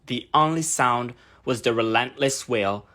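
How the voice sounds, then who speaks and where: A man's voice: medium pitch, measured pace, sharp tone. A man reading a scripted first-person essay aloud as narration. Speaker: reader of a literary text.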